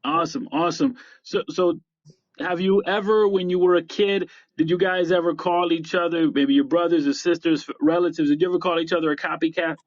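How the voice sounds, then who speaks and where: American guy podcast host, middle aged, bit high voice, motivational talk, deliberate phrasing, slightly muffled sound. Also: steady tone, no echo. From upstate New York, United States. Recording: slightly dull, dry lo-fi audio.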